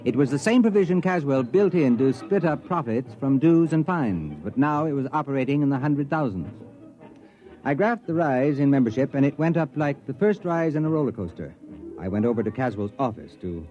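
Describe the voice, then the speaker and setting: An actor playing an excitable, exasperated sociology professor in a 1950s radio drama. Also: male voice, medium pitch, a little fast, natural voice. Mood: narrating, wry. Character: excitable male sociology professor, increasingly alarmed narrator. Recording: dull vintage radio recording with music.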